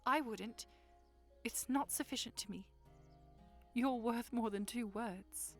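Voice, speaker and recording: A woman's voice: a little high, slow, a bit bright, soft background music, full character voice. A woman playing a proud but self-doubting young princess in an audio drama, speaking earnestly in formal wording. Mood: sincere.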